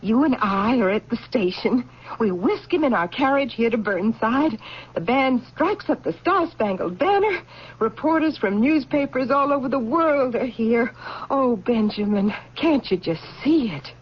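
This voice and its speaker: Scheming, gushing general's wife, husky and animated, muffled vintage radio drama. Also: female voice, a little high, a little slow. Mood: excited, gushing. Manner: gushing, persuasive. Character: ambitious, excitable general's wife, socially scheming. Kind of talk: old-time radio drama acting. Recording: dull old radio recording.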